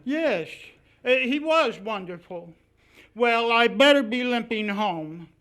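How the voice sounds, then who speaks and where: A man's voice: very high-pitched, lively intonation, slow, fairly bright tone, heavily acted. A man playing a meek, doleful, henpecked postman in live old-time radio comedy style. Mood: mournful deadpan.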